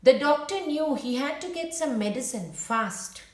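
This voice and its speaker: A woman reading a children's storybook aloud in a simple, deliberate way, as if to young listeners. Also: sits high, lively intonation, reverberant. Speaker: children's book read-aloud narrator. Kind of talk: storybook read-aloud with brief asides to viewers.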